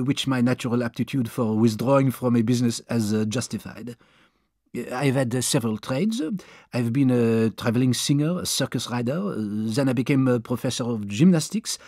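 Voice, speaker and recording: A man's voice: medium pitch, darkish tone, no room sound, clean studio sound, close to an everyday voice. A man voicing a polite, eager French manservant of thirty, hesitant and deferential in an audiobook. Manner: hesitant, deferential, punctuated with uhs.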